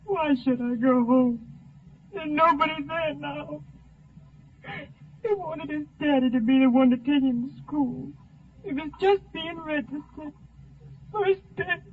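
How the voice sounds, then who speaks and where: A woman's voice: sits high, a little slow, long pauses. An actress playing a dazed, grief-stricken mother in a 1950s radio melodrama, plaintive and tearful. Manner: distraught, plaintive. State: tearful, crying. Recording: narrow tinny 1950 radio recording.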